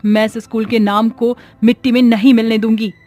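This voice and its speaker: A woman's voice: on the high side, little pitch movement, fairly quick, darkish tone, no room sound, faint music behind, close to an everyday voice. A woman voicing a brave, devout female schoolteacher in a horror story, emphatic and challenging. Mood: determined. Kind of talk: dramatic horror story acting.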